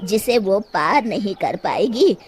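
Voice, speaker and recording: A calm old-world holy man acted out by a woman in a cartoon folktale. The pitch is lowered and the delivery slow and measured, giving solemn counsel over faint noise. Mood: solemn, instructive.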